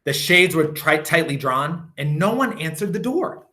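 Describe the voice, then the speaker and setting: Very animated, bright-voiced male storyteller. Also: on the high side, a little slow. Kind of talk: expressive storybook read-aloud for children.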